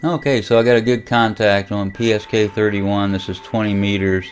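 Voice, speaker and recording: An American man giving a casual, unscripted software walkthrough as a hobby vlogger, halting as he thinks aloud. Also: lowish voice, steady tone, slight background noise. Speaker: amateur radio vlogger demonstrating software. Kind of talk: casual unscripted screen tutorial.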